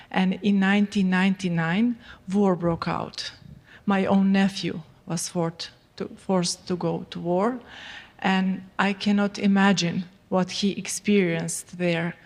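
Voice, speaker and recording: A woman in her forties from Serbia giving calm, deliberate testimony in a formal interview, in non-native English. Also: fairly low pitch, in a large hall.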